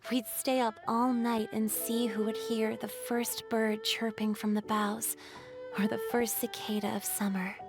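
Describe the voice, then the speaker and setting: An actress playing a gentle, wistful female water spirit in a fantasy game, speaking softly and earnestly in a measured, tender way. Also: fairly high pitch, level tone. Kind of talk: scripted fantasy game dialogue. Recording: studio voice over background music.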